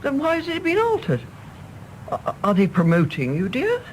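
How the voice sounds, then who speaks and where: A woman's voice: high-pitched, huge pitch swings, moderate pace, a little hiss or hum, poor audio. An actress playing a fussy, doting elderly mother with an English Received Pronunciation accent, fluttery and hesitant, in a radio drama. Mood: indignant, probing. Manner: fluttery, hesitant, repeating herself. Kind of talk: British radio drama acting.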